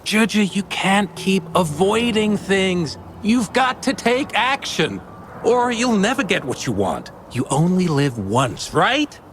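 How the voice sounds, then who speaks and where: An actor voicing a friendly, easygoing merchant in a video game, teasing and lively. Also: male voice, high-pitched, slow, slight background noise, heavily acted.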